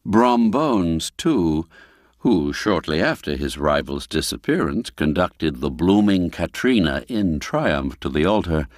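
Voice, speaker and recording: Low-voiced guy reading an old story slowly, lots of rise and fall, really dry close sound. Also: lively intonation, dry recording. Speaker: storyteller narrating a classic tale. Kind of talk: scripted literary narration, audiobook reading.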